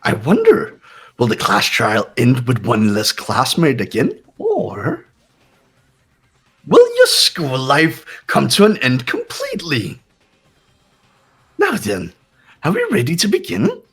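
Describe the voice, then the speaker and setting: A man's voice: mid-range voice, huge pitch swings, slow, pauses often, fairly bright tone, slightly rough, soft background music, full character voice. A man voicing a mocking, sadistic bear headmaster in a fan-voiced game playthrough. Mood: taunting. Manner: mocking, sing-song, taunting. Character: gleeful sadistic bear headmaster running a deadly trial.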